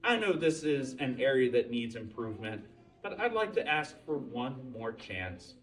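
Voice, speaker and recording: A man's voice: mid-range voice, big pitch swings, moderate pace, recorded from a distance, close to an everyday voice, rough recording. A man voicing an earnest, eager male storybook moose who talks in a chatty, awkwardly hopeful way. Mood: sheepish, hopeful.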